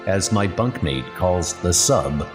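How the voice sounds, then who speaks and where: A man's voice: low-pitched, expressive, takes it slowly. A man voicing a young British midshipman narrating his wartime diary in a subdued, introspective way. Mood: reflective. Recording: voice over loud background music.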